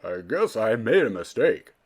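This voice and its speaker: A man voicing an eager male bird husband from a children's storybook, earnest and expressive. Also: fairly low pitch, normal speed. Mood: doubtful.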